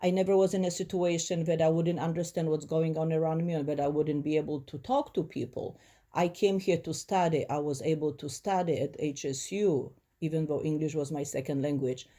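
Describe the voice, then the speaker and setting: A woman's voice: deep voice, fairly even intonation, fairly quick, warm tone. A woman in her forties from Poland, speaking English with a Polish accent, giving earnest, reflective answers in an interview. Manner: earnest, reflective, slightly non-native phrasing. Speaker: interviewee, first-generation immigrant.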